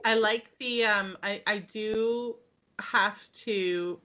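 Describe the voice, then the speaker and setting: A woman's voice: mid-range voice, extremely slow. A woman co-hosting a podcast, chatting casually with jokes and playful emphasis. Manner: animated, jokey, swears lightly, stretches words for effect. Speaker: podcast co-host. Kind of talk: casual chat. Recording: slightly dull lo-fi sound.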